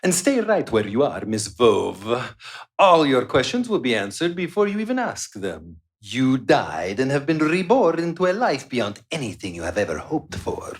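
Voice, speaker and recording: An actor playing a condescending, menacing vampire doctor in a live radio play, theatrical and chuckling in manner. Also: male voice, medium pitch, wildly swooping pitch, normal speed. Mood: condescending menace. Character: gloating, menacing male vampire doctor and boss.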